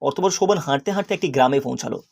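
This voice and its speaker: A man giving scripted narration for an animated children's story. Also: mid-range voice, rapid-fire, gravelly.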